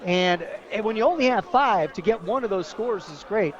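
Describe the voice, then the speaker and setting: This is an American man working as a color commentator on a live football broadcast. He talks in a high register, with a conversational, enthusiastic manner. Crowd noise from the stadium runs clearly behind him. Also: expressive, moderate pace, lo-fi sound.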